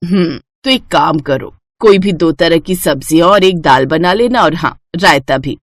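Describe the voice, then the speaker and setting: A woman acts out an older mother-in-law from a family storybook. She speaks with unhurried, homely authority, gently instructing and approving, in a close, dry sound. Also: on the low side, dry recording. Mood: instructing, pleased. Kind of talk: acted Hindi family storybook dialogue.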